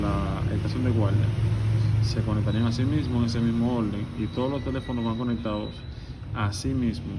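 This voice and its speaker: A man explaining how to install an intercom step by step, walking through wiring and often repeating words for emphasis. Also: lowish voice. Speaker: security technician. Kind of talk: on-site installation tutorial. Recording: clear background noise.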